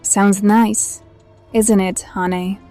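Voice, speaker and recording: A woman's voice: medium pitch, fairly even intonation, slow pace, warm tone, soft background music, natural voice. A woman playing a protective, wistful half-banshee, half-necromancer outcast who speaks confidingly in an audio drama. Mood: protective, steady. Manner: calls the younger one honey, confiding.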